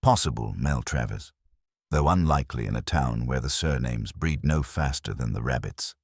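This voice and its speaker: A very deep-voiced, courteous police inspector as played by a male narrator speaks mildly and without hurry. He is dryly sceptical, in the style of a radio mystery, with a lively melodic line. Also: husky, dry recording, heavily acted. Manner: mild, unhurried, dry.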